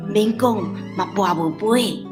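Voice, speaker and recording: A woman's voice: mid-range voice, slow pace, music in the background, lightly acted. An actress playing a chatty, traditional old village grandmother with a Taiwanese accent in a radio drama, talking in a folksy way. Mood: gossipy.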